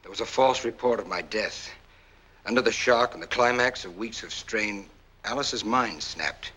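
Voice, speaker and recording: An actor in a 1940s film noir playing a self-assured war-veteran husband who explains things in a measured, polite, controlled way. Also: male voice, lowish voice, pushed and bright, breathy edge. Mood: controlled, persuasive. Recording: old 1940s film soundtrack.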